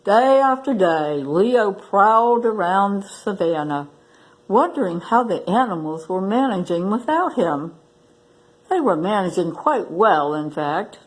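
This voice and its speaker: A woman reads a picture book aloud for children. Her delivery is slow and deliberate, with plenty of rise and fall in a mid-pitched voice, like a practiced library storyteller. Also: animated, rough recording. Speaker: library staff story reader.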